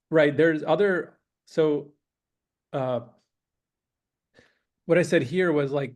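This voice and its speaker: A man giving a relaxed university lecture, thinking aloud with many pauses, clearing his throat as he loses his voice. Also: mid-range voice. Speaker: guest lecturer. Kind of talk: academic lecture with questions. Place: university classroom.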